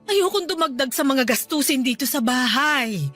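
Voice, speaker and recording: A woman's voice: high voice, animated, moderate pace, fairly bright tone, faint music behind. A woman playing an apologetic, self-sacrificing wife and mother in a radio drama, with a pleading manner. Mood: apologetic, reluctant. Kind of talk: Tagalog radio drama acting.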